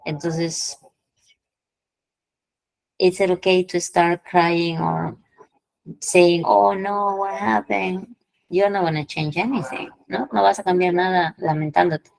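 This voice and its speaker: Woman, English teacher, gravelly voice, dry close sound. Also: on the low side, fairly even intonation, darkish tone, no room sound, low-quality recording. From Peru. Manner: explains slowly, repeats phrases, checks in with students. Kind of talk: online class, switching between English and Spanish explanations.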